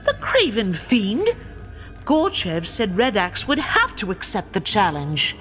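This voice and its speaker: A woman's voice: mid-range voice, wildly swooping pitch, slow pace, fairly bright tone, faint music behind, exaggerated voice. A woman playing a bold, defiant young elf warrior in a video game, proud and declamatory. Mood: indignant. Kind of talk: dramatic video game acting.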